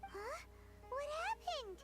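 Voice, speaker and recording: A woman voicing a tiny, curious, excitable fairy companion in a video game, with a squeaky childlike voice. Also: slow pace, exaggerated voice. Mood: puzzled. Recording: loud music under the voice.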